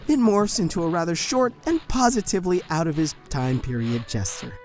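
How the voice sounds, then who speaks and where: First-person audio-drama narrator, harried warden, read by a performer; animated, exasperated, faint music bed. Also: medium pitch, a little fast, natural voice. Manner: frantic, exasperated storytelling.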